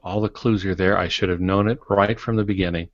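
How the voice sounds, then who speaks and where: A middle-aged man who writes fiction, chatting on a podcast in a measured, explanatory way with dry humor. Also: low voice, fairly even intonation. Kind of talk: casual podcast discussion. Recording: dull audio in echoey room.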